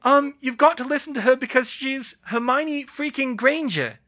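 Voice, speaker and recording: Storybook: excitable, blunt teenage girl, voiced by a man, high and loud. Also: normal speed. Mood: emphatic.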